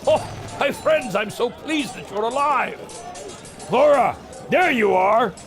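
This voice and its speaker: An actor playing a triumphant, warm-hearted male rebel in a pulp adventure audio drama. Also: very high-pitched, animated, slow pace, creaky, music in the background, heavily acted. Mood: elated.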